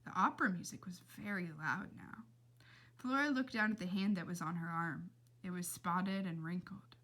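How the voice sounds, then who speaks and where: A woman's voice: fairly low pitch, normal speed, pushed and bright. A woman reading a storybook aloud expressively, with dramatic emphasis and casual asides. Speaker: read-aloud reader.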